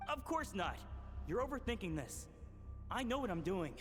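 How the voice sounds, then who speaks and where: A desperate young man in a video game, defiant and stubborn. His voice sits high for a man and swings widely in pitch. Music plays behind him. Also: big pitch swings, normal speed. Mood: confident. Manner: defiant, impassioned.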